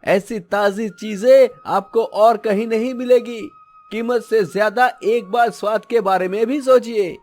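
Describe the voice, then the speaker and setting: High-voiced, polite cartoon vegetable seller played by a man. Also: fairly even intonation, unhurried, darkish tone, faint music behind. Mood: gentle, reassuring. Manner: polite, reassuring. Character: honest, gentle young male vegetable seller. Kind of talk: cartoon story acting.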